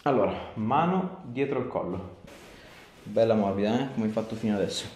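A man's voice: fairly low pitch, moderate pace, darkish tone. A male chiropractor, coaxing a patient through treatment in short, repeated directions. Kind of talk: hands-on instructions to a patient during a treatment. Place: small clinic treatment room, indoors. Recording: echoey small room with clear background noise.

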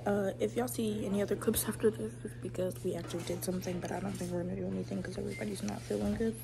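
A young woman in her twenties vlogging at home while sick with a cough, talking softly to her phone. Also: rapid-fire, vocal fry, a crowd in the background. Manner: low-key, quiet and relaxed. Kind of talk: casual vlog talk to camera. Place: indoors at home, living room. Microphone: phone held close, front camera. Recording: noisy home recording.

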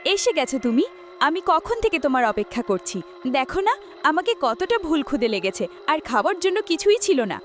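A jilted young woman's ghost , acted by a woman, speaks in a very high voice that swings dramatically up and down. She is bitter, accusing and menacing, a horror cartoon spirit out for revenge, with music playing clearly behind her. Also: very animated, moderate pace. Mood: eerily sweet. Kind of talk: melodramatic horror cartoon dialogue.

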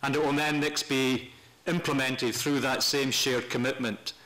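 An older man presenting semi-formally to a meeting, speaking off the cuff in a measured, halting way with pauses mid-phrase. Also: medium pitch, fairly even intonation, sharp tone, low-quality recording. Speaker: panel speaker at a human rights meeting. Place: parliament committee room, indoors. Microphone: desk gooseneck microphone.

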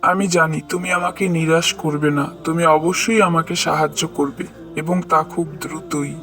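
A man's voice: on the high side, little pitch movement, normal speed, loud music behind the voice, lightly acted. A man voicing a devout, trusting traveler in a cartoon, earnest and prayerful in manner. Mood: distressed, pleading. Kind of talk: cartoon voice acting.

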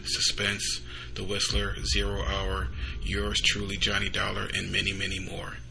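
A man's voice: on the low side, creaky, low-quality recording. A man hosting a podcast, reading a scripted introduction. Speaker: podcast host.

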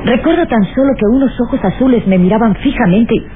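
A woman acting a nervous young flight attendant in an old radio serial. Her delivery is hesitant and theatrical. The recording is phone-thin and buried in loud noise. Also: fairly high pitch, fast, phone-quality sound, lightly acted. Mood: uneasy, alarmed.

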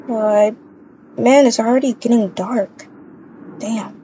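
An impatient young mom acted by a woman in a video game roleplay, speaking slowly in casual, grumbling slang. The recording is dull and lo-fi, with faint noise behind her. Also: on the high side, fairly even intonation, warm tone. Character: impatient young mother, complaining to her partner.

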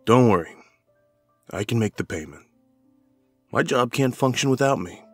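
An actor playing a wary, irritable ordinary husband with a guarded, terse delivery in a horror audio drama. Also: male voice, medium pitch, animated, moderate pace, breathy edge, dry recording, faint music behind. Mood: placating.

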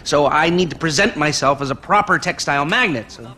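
An actor playing a sardonic, nerdy middle-aged criminal planner in a video game, dry and wordy. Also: male voice, a little high, expressive, a little fast, sharp tone. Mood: dry, matter-of-fact. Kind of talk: cinematic video game acting.

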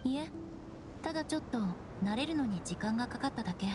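A woman playing a cool, proud young knight in an anime game, poised and matter-of-fact in her measured delivery. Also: a little high, animated, background noise, close to an everyday voice. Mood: composed. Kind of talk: Japanese anime game acting.

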